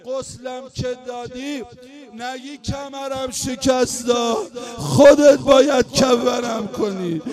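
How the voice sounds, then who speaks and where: A man's voice: very high-pitched, fairly even intonation, music in the background. A male religious eulogist delivering a chanted mourning sermon, emotional and wailing, sliding into half-sung lament.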